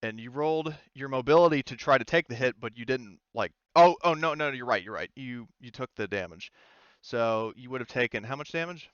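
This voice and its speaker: A man's voice: mid-range voice, clear, resonant tone, no echo. Man game master, clean but dull audio. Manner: halting, thinking aloud while working out rules. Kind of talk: running a tabletop roleplaying game, casual.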